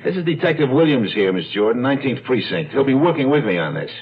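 An actor playing a brisk, teasing homicide cop with a blunt, casual manner in a radio drama. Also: male voice, medium pitch, big pitch swings, slight background noise. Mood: businesslike. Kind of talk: 1970s radio drama acting. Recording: dull lo-fi radio drama recording.

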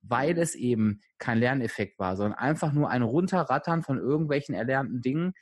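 A man co-hosting a podcast in a conversational, motivational style, speaking emphatically and directly to the listener with a slightly husky voice. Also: mid-range voice, lively intonation, fairly quick, no room sound, studio quality. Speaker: podcast co-host.

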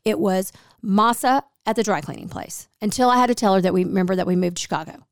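Chatty woman with a slightly gravelly voice. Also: medium pitch, fast, no room sound, clean studio sound. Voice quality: gravelly, hoarse. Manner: chatty storyteller, acts out quoted dialogue. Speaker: podcast host. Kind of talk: casual chat.

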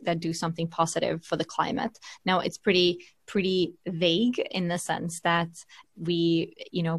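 Relaxed woman from Finland, lowish voice. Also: no echo. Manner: relaxed, reflective, lots of fillers and restarts. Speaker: venture capital partner, guest. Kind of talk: conversational podcast interview.

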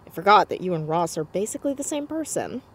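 Audio drama: earnest, romantic fourteen-year-old girl, expressive and headstrong, very lively pitch, slightly rough edge. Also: fast, full character voice. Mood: eager, romantic. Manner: excitable, stammering when flustered, expressive. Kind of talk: naturalistic audio drama acting.

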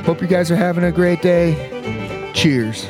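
A man's voice: high-pitched, a little slow, over music. A man hosting a podcast, talking casually and directly to listeners. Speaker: podcast host. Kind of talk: casual podcast intro and chat.

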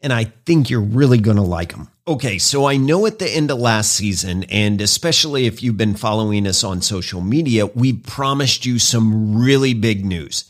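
A man's voice: on the low side, expressive, moderate pace, few pauses. A man hosting a podcast, introducing and interviewing a guest in an upbeat, polished presenter manner. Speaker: podcast host.

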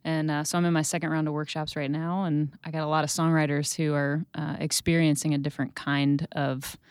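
A woman's voice: low-pitched, flat delivery, brisk, a little mellow, smooth, no room sound. A woman in her thirties, a worship leader and songwriter, talking earnestly in a studio panel interview. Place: studio with mics.

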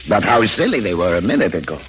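An actor playing a gloating, menacing foreign killer in a 1940s radio drama, formal and deliberate in speech. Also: male voice, deep voice, very animated, a bit bright. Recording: muffled old radio recording, faint noise.

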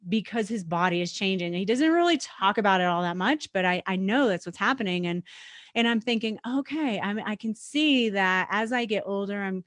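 A woman in her forties hosting an interview, reflecting and thinking out loud in a casual, halting way. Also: medium pitch, expressive, no echo, studio quality. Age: 40s. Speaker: podcast host.

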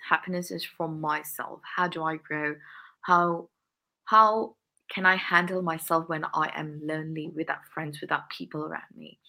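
A woman's voice: fairly low pitch, creaky, pushed and bright. A woman answering interview questions earnestly on a podcast, often breaking into laughter between phrases. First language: English. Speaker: guest, workplace diversity consultant.

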